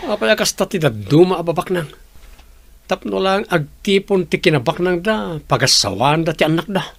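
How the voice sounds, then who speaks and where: An anxious young man in a radio drama. His voice sits fairly high and is recorded close and dry, with a questioning, pressing way of talking. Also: animated, normal speed, vocal fry, no echo, heavily acted. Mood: reasoning.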